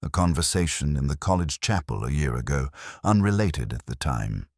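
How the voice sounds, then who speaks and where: A man narrating a scripted first-person detective story in a measured, reflective, literary manner. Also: rumbling low, animated, no room sound, studio quality.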